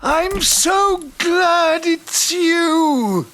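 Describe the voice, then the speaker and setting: An actor playing a besotted, sentimental man in an audio drama, halting and musing, with breathy exclamations. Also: very high voice, a bit bright, slight background noise, heavily acted. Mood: playful. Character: besotted sentimental man, aged twenty and reminiscing at eighty.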